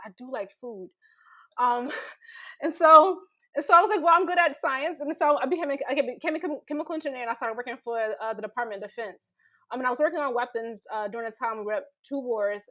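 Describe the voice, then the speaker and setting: A woman's voice: a little high, a bit bright. A woman panelist telling a personal story in a conversational way, acting out bits of dialogue as she goes. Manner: conversational, quotes dialogue with frequent "like". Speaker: panelist, writer and former chemical engineer.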